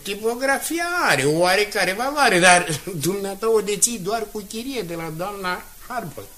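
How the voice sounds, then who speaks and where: Smug, animated male board chairman. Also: high-pitched. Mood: cool, dismissive. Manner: patronising, chuckling, theatrical. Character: smug, condescending, amused older board chairman and financier. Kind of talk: Romanian radio drama acting.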